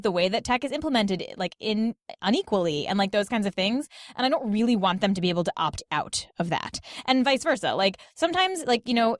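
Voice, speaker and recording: Woman on a podcast chatting super quick, says like a lot, dry sound. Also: medium pitch, no room sound. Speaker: podcast host as interview guest. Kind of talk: casual podcast panel chat.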